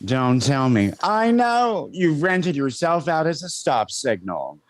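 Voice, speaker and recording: A man's voice: on the high side, very animated, slow pace. A man playing a sarcastic, fussy store clerk in old-time radio comedy, arch and mock-polite. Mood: teasing, condescending.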